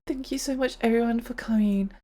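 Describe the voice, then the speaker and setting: A woman's voice: fairly high pitch, a little fast, dark tone. A young woman streamer chatting casually on a live stream, her voice tired and strained, rambling and giggling as she talks.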